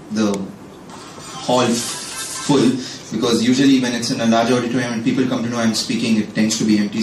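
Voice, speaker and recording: A man's voice: medium pitch, a little hiss or hum. A young man giving a chatty career talk to students, with joking asides. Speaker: alumni speaker. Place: packed lecture hall. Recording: echoey hall, distant mic.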